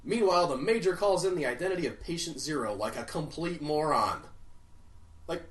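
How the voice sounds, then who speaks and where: Male comedy film reviewer, sarcastic, ranting, very animated pitch, roomy echo. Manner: sarcastic, swings into loud outraged rants. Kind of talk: comedic movie review narration. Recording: roomy echo, mic at a distance.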